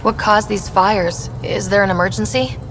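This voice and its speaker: An actress playing a plucky, curious young explorer in a video game. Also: female voice, medium pitch, steady tone, moderate pace, background noise, natural voice. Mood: concerned. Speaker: explorer protagonist.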